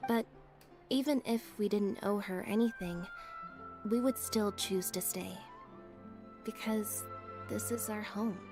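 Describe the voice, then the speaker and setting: A calm, reserved young assistant. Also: female voice, mid-range voice, vocal fry. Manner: calm, soft, reserved delivery.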